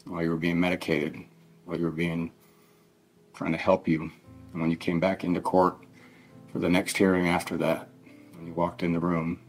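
A middle-aged man giving a solemn victim impact statement in halting, emotional phrases, grieving and addressing someone directly. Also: low voice, slightly rough. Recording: faint music laid under the voice. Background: faint plucked guitar music.

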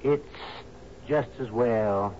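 A man's voice: medium pitch, very slow. An actor playing a loyal, grave island man, terse, guarded and deferential to his captain, in a 1970s radio drama. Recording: dull, noisy old radio recording.

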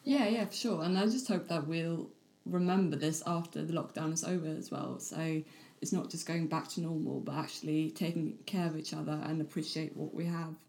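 Woman podcast host, low-pitched, warm encouraging interviewer. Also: steady tone, brisk, poor audio. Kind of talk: casual interview hosting.

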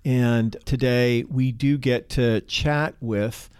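A man co-hosts an economics podcast, introducing his guests with earnest enthusiasm and a wry edge. His voice is mid-pitched and recorded dry and close. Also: steady tone, slow pace, darkish tone, clean tone, no room sound. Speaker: podcast co-host. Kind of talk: podcast interview.

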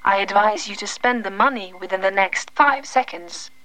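A woman playing a condescending, calmly menacing artificial intelligence delivering a formal, deadpan letter as an audio drama monologue. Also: mid-range voice, normal speed, natural voice, rough recording. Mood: detached, superior. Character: superintelligent AI, female, condescending, calmly menacing, detached.

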